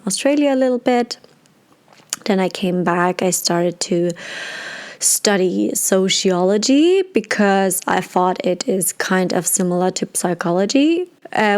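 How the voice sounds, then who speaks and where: Slow-talking young woman podcaster. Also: medium pitch. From Germany. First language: German. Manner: relaxed, with many fillers and restarts. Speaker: podcast host. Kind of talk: casual personal storytelling.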